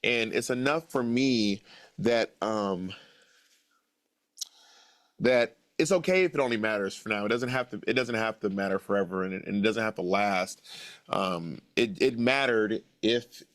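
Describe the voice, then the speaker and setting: A man's voice: lowish voice, a little slow, a bit bright. An American man in his late thirties, an author answering in an interview with an earnest, musing manner and repeated phrases for emphasis. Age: 30s. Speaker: author guest.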